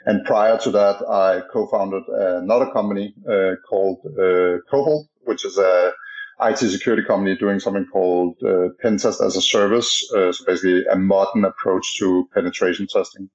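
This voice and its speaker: Halting Danish-accented man, lo-fi sound. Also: low voice, steady tone. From Denmark. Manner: halting, many fillers and restarts. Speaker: podcast guest, startup co-founder. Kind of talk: casual interview talk.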